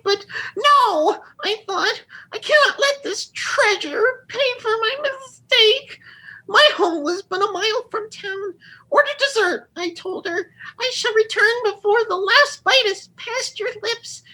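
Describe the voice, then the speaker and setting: A tiny, puffy shame spirit speaks in an extremely high, piercingly bright voice. It is tearful and self-pitying, with florid, old-fashioned melodrama suited to comic radio theatre. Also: female voice, full character voice, poor audio. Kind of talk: comedy radio theatre acting.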